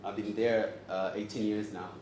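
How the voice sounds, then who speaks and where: Man from Zambia, forties, deeper voice, playful speaker quizzing audience, roomy echo, background noise. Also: flat delivery, normal speed. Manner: joking and engaging, quizzes the crowd. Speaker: host and speaker. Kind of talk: live talk to an audience. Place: event room with audience. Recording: echoey room, audible noise.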